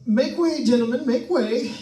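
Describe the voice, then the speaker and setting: A man's voice: very high voice, mellow. A man playing a nervous, chatty radio host alone in a haunted house, stammering and rambling in an old-time radio suspense style. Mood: hysterical, unhinged. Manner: stammering, jittery, rambling with forced cheer. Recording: echoey room, distant microphone.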